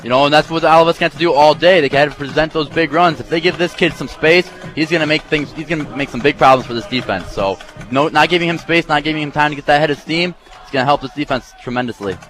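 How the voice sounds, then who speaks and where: An American man works as color commentator on a football broadcast. He talks quickly in a slightly high voice, explaining plays in a casual, conversational way. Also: fairly bright tone, faint music behind. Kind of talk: live football analysis, conversational.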